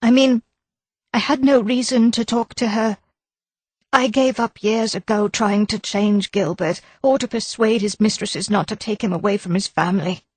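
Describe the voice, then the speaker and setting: A woman voicing an anxious, defensive middle-aged wife and mother who talks in a flustered, high-strung way. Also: steady tone, moderate pace, slightly rough, no room sound, lightly acted.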